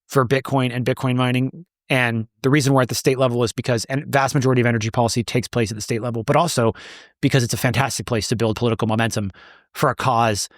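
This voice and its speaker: A man's voice: medium pitch, steady tone, fast, no room sound, studio quality. A man answering interview questions as the founder of an advocacy group, speaking emphatically and repeating words as he builds a point.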